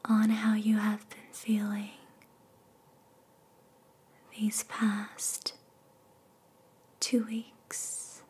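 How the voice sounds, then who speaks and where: A woman guiding a meditation in soothing ASMR style, in a soft, breathy whisper with repeated phrases and long pauses. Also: mid-range voice, completely flat delivery. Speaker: ASMR reiki practitioner and meditation guide.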